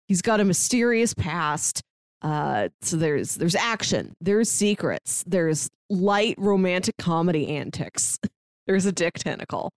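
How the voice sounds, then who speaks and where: A woman's voice: on the low side, lively intonation, measured pace, no room sound, studio quality. A woman co-hosting a podcast, talking casually through a review and sometimes laughing lightly as she speaks. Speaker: podcast co-host. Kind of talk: casual conversational book review.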